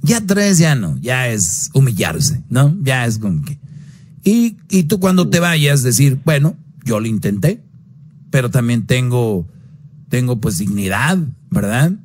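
A man's voice: big pitch swings, a little slow, darkish tone. Guy on a radio call-in giving bold love advice, mid voice, leans hard on his points. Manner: blunt, preachy, swings pitch up for emphasis.

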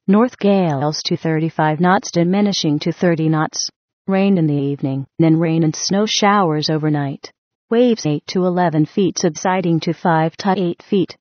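Muffled, low-pitched synthetic woman announcer. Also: moderate pace, dry recording. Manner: mechanical, impersonal. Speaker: automated weather radio announcer. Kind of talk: synthesized weather bulletin reading. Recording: muffled lo-fi weather radio recording.

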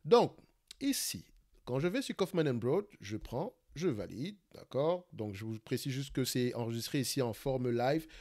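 A man with a Cameroonian French accent who hosts an investing channel, talking quickly through a live screen-share stock analysis, often restarting phrases and checking in with "d'accord?". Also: mid-range voice, sing-song, darkish tone. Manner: fast talker, searches for words, many restarts and "d'accord?".

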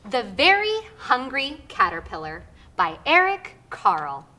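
A woman's voice: fairly high pitch, wildly swooping pitch, unhurried, pushed and bright, slight background noise. A woman reading a children's picture book aloud expressively as a reader's theater performer. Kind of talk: expressive storybook read-aloud. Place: theater stage.

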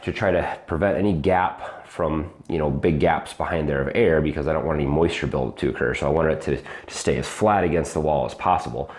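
A man in his thirties giving a casual walkthrough of his basement renovation, talking in a halting way with many ums and restarts, on a lapel mic. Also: a bass voice, darkish tone. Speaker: DIY home renovation vlogger. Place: unfinished basement, indoors. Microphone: lapel mic, handheld camera.

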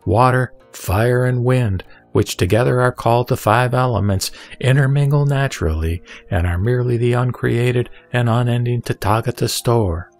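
A man giving a solemn scripted recitation of Buddhist scripture, with deliberate, measured phrasing and emphatic questions. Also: medium pitch, a little mellow. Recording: background music under the voice. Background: music.

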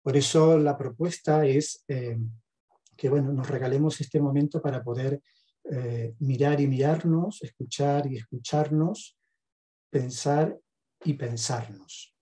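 Male lecturer, middle-aged, Argentine accent. Unhurried and measured, mellow timbre, reflective talk with pauses. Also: mid-range voice, breathy edge. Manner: measured, hesitant pauses between phrases. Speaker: speaker, theologian and counsellor. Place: home study with bookshelves. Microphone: headset on a video call.

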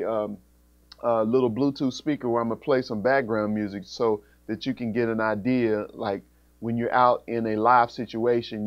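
A man in his thirties with an American accent, explaining gear step by step in a casual, informal tutorial. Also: lowish voice. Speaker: music instructor. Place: small music studio, indoors. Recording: dull sound with humming background noise. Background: electrical hum, fan noise.

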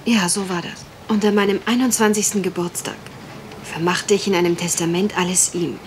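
A woman's voice: lowish voice, steady tone, a little fast, a little hiss or hum, close to an everyday voice. A woman acting a troubled, polite young heiress in a German radio crime drama, confiding and a little hesitant.

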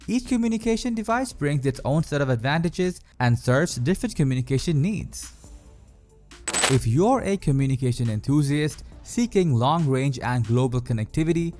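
A man's voice: fairly high pitch, darkish tone. A man reading a scripted explainer as a video narrator, in a polished, presentational manner. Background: faint background music.

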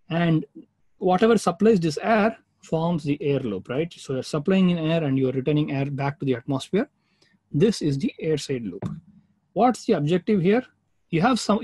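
A man's voice: fairly high pitch, darkish tone, husky, no room sound. A man teaching an informal online technical lecture, explaining step by step and often checking in with the listener. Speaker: webinar instructor.

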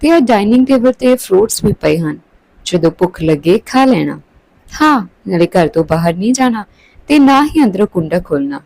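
A woman's voice: medium pitch, lively intonation, normal speed, gravelly, a little mellow, no room sound, rough recording. A woman voicing a caring, practical mother of young girls, with a motherly, instructing manner, in a story.

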